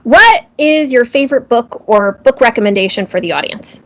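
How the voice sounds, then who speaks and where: A woman's voice: fairly high pitch, lively intonation, normal speed, a bit bright. A woman hosting a casual live interview, enthusiastic and appreciative as she puts questions to her guest. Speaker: interview host.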